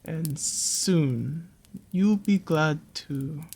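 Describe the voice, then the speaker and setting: A voice actor playing a sly, possessive naga monster in an intimate roleplay, speaking in an unhurried, soothing, coaxing way with doting pet names. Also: medium pitch, a little mellow, breathy edge, exaggerated voice. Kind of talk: slow intimate roleplay audio with storytelling.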